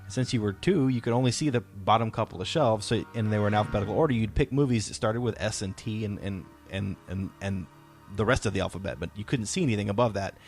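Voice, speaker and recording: A man's voice: lowish voice, fast, faint music behind. A man in his thirties, a father hosting a podcast, casually telling personal stories to his children. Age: 30s. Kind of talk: casual chat, personal storytelling.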